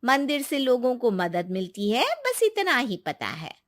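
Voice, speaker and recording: A kind, secretive village woman acted by a woman in a cartoon folk tale. Her voice is high and bright, swinging widely in melody as she reassures in homely, colloquial words. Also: wildly swooping pitch, unhurried, heavily acted. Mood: guarded. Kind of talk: cartoon moral-story acting.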